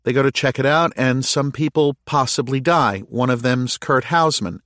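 A man's voice: medium pitch, normal speed, a bit bright, no room sound, studio quality, pitched above the performer's own voice, close to an everyday voice. A man voicing an earnest, thoughtful woman in an audiobook, questioning and tentatively thinking aloud. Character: earnest, thoughtful woman reasoning through a mystery. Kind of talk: audiobook character dialogue.

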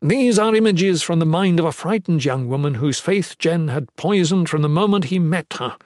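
An imperious, unyielding commander acted by a male narrator speaks with formal, forceful scorn in a fantasy audiobook. His voice rises above the reader's usual pitch, in a dry recording. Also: lively intonation, normal speed, no echo, natural voice. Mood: derisive. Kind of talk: fantasy audiobook character reading.

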